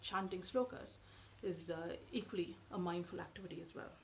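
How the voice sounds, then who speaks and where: A woman in her thirties whose first language is Tamil, talking to camera from a sofa in her living room in a measured, thoughtful way with frequent pauses. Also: lowish voice, a little hiss or hum. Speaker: vlogger. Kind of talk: explanatory talk to camera. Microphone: camera in front at close range.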